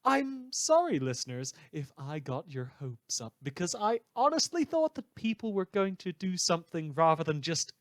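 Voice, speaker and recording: A theatrical man acting as a radio newsman delivers satirical monologues in a fairly high male voice. He is sardonic and bitter, stretching emphatic words for effect. The sound is very dry, as in a scripted audio drama. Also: no echo. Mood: bitter, disillusioned. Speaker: radio news show host.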